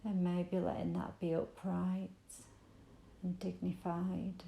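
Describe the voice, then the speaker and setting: A woman with a mellow, creaky voice guides a meditation gently and soothingly. Her delivery stays very even and invitational, with unhurried pauses between phrases. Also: fairly low pitch, echoey room, rough recording. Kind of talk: guided body scan meditation.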